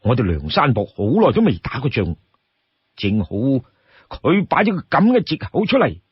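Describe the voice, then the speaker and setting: A man telling a classic tale in an expressive, dramatic storytelling style, acting out the dialogue. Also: medium pitch, moderate pace, slightly rough, no room sound, dull audio. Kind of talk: dramatic oral storytelling narration of a classic novel.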